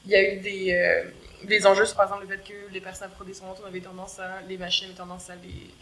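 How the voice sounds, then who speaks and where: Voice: mid-range voice, monotone, fast, a bit bright, poor audio. A co-host asking a long, thoughtful question in a level way. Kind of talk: casual interview question.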